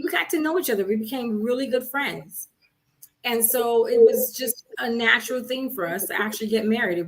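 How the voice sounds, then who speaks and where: A woman's voice: on the high side, fairly bright tone, poor audio. Earnest woman guest telling her story. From Haiti, raised in Miami. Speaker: guest, coach. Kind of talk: casual interview.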